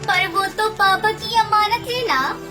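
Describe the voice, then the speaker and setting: Devoted little wife bird played by a woman, extremely high voice, plaintive, cartoon fable with music. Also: slow, sharp tone, full character voice. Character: devoted, worried young wife bird, female. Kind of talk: cartoon moral story acting.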